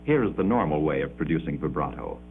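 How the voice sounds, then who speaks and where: A man delivering formal, measured 1950s educational film narration with precise enunciation, on an old muffled soundtrack. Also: rumbling low, big pitch swings, a little hiss or hum.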